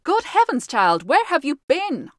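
Storybook father played by a woman: stern, worried, forceful scolding, dry close sound. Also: high-pitched, wildly swooping pitch, a little slow, dry recording, pitched above the performer's own voice, heavily acted. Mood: angry relief. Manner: forceful, exclaiming. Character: stern, anxious father scolding his daughter.

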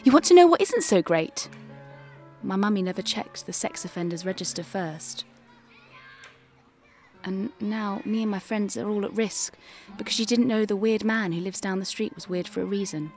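A woman's voice: medium pitch, normal speed, darkish tone, faint music behind, close to an everyday voice. A woman voicing a cheerful seven-year-old child reading a scripted advert in a radio play. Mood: bright, sing-song.

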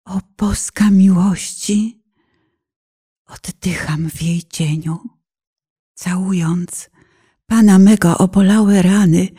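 Slow, breathy woman reciting devotional poetry. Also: mid-range voice. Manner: solemn, fervent, dramatic phrasing with hushed breathy moments. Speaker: narrator and reciter. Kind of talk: poetic religious recitation, radio-play meditation.

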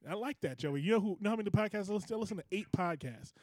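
High-voiced man joking on a podcast. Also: lively intonation, racing, no echo. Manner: joking, teasing, laughs often. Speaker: podcast co-host. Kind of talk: casual comedy podcast banter. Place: studio.

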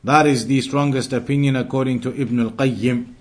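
A man's voice: mid-range voice, steady tone, moderate pace. A man giving an explanatory religious lesson as a teacher, didactic, restating key phrases for emphasis and moving between quotations and explanation. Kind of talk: explanatory Islamic lesson, translating quoted Arabic into English.

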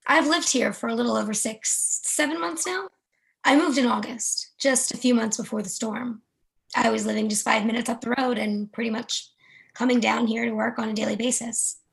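Woman reading casual newcomer, chatty, high, gravelly fry. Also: steady tone, normal speed, low-quality recording. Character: woman, young newcomer to the neighborhood. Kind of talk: readers theatre monologue.

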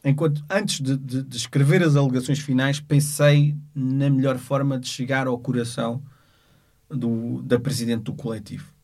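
Halting Portuguese man, even low-keyed delivery. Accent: European Portuguese. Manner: halting, measured, searching for words. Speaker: lawyer. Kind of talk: unscripted spoken account of a court case.

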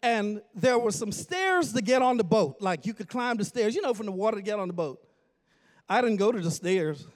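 A man in his forties from the Bahamas preaches in a church, swinging from chatty asides to sudden shouts as he tells a story. His voice sits high for a man, and he jokes easily with the congregation. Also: animated, moderate pace. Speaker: pastor. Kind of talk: storytelling sermon. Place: church sanctuary.

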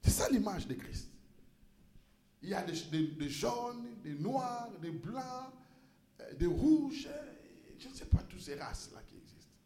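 A male pastor with an unusually high voice preaches with fervor, climbing into shouts and tripping over repeated words. His voice rings around an echoey church room. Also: big pitch swings, a little slow, slight background noise. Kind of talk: sermon.

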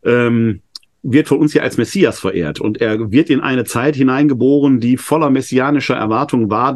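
A man with a slight cold hosting a live webinar discussion, chatty and full of hesitations. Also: medium pitch. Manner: chatty, many filler hesitations. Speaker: host, New Testament scholar.

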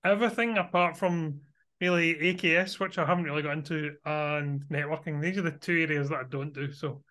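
A man's voice: fairly high pitch, fairly even intonation, a little fast, bright, forceful tone. Scottish man chatting as a guest. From Scotland. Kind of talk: casual interview chat.

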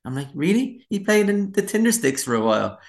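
A man's voice: sits high, very animated, brisk. An Irish man speaking as a musician in a casual interview, candid and reflective. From Ireland.

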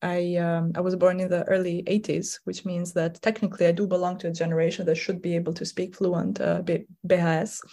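A woman in her forties whose first language is Albanian, speaking English as a playwright guest in an unhurried, hesitant way, with many fillers and restarts. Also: lowish voice, flat delivery, gravelly, dark tone. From Kosovo. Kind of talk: conversational interview.

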